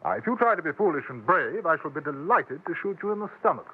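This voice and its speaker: An actor playing a courteous, coolly dangerous English gentleman adventurer in a 1950s radio western, speaking formally with a British accent on an old broadcast recording. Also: fairly high pitch, very animated, fast talker, breathy edge, phone-quality sound. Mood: coolly commanding. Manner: formal, polite, precise. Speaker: lead character and narrator.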